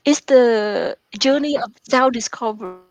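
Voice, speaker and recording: A woman's voice: fairly high pitch, unhurried, no echo. Hesitant woman, English her second language. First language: not English. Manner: hesitant, many fillers and restarts. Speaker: memoir author, guest. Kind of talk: interview answers.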